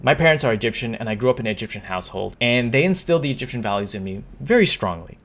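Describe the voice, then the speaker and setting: A young man speaks straight to his camera in a mid-pitched, everyday voice. He sounds upset but earnest, and he stumbles and restarts his sentences as he works through his point. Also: expressive, rapid-fire, fairly bright tone. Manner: earnest, stumbling, restarts and trips over words. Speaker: YouTuber. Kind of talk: talking-to-camera opinion vlog.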